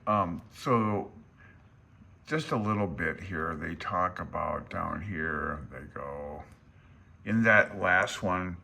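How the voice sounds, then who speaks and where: A man's voice: sits low, slow pace, vocal fry, pushed and bright. A middle-aged man thinking aloud in an informal, hesitant, meandering and self-deprecating way as he walks through a handbook at home. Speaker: amateur radio vlogger. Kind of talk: informal rambling walkthrough of a handbook. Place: small room at home.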